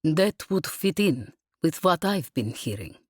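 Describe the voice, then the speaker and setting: A woman voicing a thoughtful female university teacher who speaks slowly and deliberately, in an audiobook. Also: low-pitched, very animated, darkish tone, slightly rough, no echo, studio quality, heavily acted. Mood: cautious. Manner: slow, deliberate.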